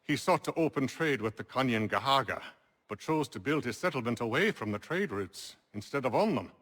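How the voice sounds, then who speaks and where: An actor playing a genial, well-spoken colonial landowner and merchant, articulate and wry, in a video game cutscene. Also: male voice, mid-range voice, animated, a little fast, fairly bright tone. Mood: wry, reminiscing.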